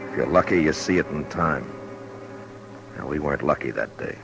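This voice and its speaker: A man with an American accent playing a stern, watchful frontier marshal narrating in an old radio western, terse and deliberate. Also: very deep voice, expressive, creaky, exaggerated voice. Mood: grim. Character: stern, watchful male frontier marshal telling his story. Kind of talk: 1950s radio western acting, first-person narration and dialogue. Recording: vintage radio recording with music.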